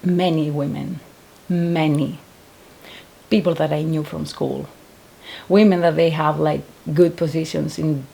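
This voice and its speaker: A woman's voice: low voice, steady tone, a little slow, gravelly, dark tone, husky, low-quality recording. A woman in her thirties from Greece, speaking English with a Greek accent, giving earnest personal testimony and searching for words with frequent restarts. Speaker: painter and survivor giving testimony.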